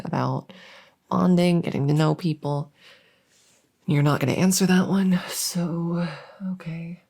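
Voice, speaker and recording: A woman's voice: fairly low pitch, vocal fry, dark tone, heavily acted. A woman voicing a silly, awkward monster who lives under a bed, rambling and stammering earnestly in a comedic ASMR roleplay. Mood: defensive, awkward. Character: silly, awkward female monster living under a bed, bungling her job of scaring. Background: writing sounds.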